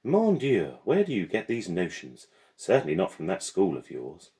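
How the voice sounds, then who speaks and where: A man's voice: deep voice, wildly swooping pitch, normal speed, dark tone, pitched above the performer's own voice. A man voicing a haughty, strict French woman guardian in a storybook, imperious and disapproving. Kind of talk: storybook character voice.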